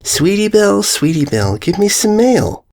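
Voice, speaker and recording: Man putting on a singsong, ditzy pony mare, pitched up. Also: sing-song, moderate pace, vocal fry, a little mellow, exaggerated voice. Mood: eager pleading. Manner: singsong rhyming calls. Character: ditzy wall-eyed grown mare pleading for mail.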